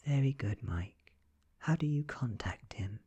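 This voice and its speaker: A man with a British accent voicing an ancient female vampire from a horror audiobook, gentle, coaxing and coldly menacing. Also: medium pitch, lively intonation, a little slow, breathy edge, highs cut off, voice pushed up. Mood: coaxing interrogation. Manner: soft, coaxing, whispery. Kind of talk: ASMR audiobook reading.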